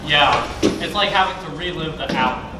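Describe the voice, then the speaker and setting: A man playing a joking, battered high school football player, wry and comic, in an amateur stage play. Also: normal speed, lightly acted. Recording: echoey stage with clear noise.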